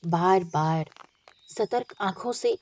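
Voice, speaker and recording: A woman's voice: lowish voice, slow, a little mellow. A woman reading a literary story aloud as an audiobook narrator, with expression.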